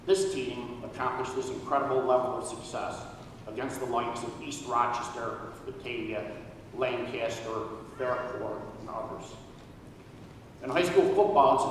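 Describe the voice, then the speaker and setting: A man's voice: mid-range voice, a little hiss or hum. A middle-aged man giving an earnest, formal tribute speech at a podium on an auditorium stage. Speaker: speaker at a hall of fame induction. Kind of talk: prepared speech read at a podium. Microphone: podium microphone, recorded from far. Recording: echoey hall from far off.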